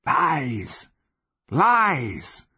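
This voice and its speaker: A man voicing a fervent old slave in a fantasy audiobook, with a thick rasp and barked, doom-laden pronouncements. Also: on the low side, lively intonation, no room sound, dull audio, heavily acted. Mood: fierce, scornful. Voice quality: rasping, thick voice. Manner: barking, drawn-out pronouncements. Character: fervent old male slave, zealous doomsaying fanatic. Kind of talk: fantasy audiobook character acting.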